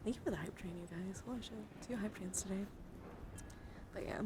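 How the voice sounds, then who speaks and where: A woman livestreaming ASMR in a soothing, gently encouraging way, soft-spoken and often slipping into whispers, close to the microphone. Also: medium pitch, fairly quick. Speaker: livestreamer hosting an ASMR card-showing stream. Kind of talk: ASMR, casual livestream chat. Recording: clearly audible background noise.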